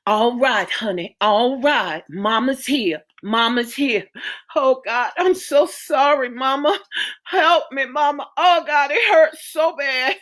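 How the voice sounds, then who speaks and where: A young woman in labor cries out and begs for help, as a woman acts her out. Her voice rides high and strained through the tears. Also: animated, slow pace, few pauses, fairly bright tone, heavily acted. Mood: pleading agony. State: crying.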